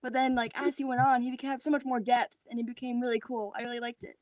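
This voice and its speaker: A woman's voice: a little high, level tone, fast, no room sound, rough recording. A woman actor chatting on a video call in an informal, youthful and enthusiastic way. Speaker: actor in a post-show discussion. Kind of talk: casual chat.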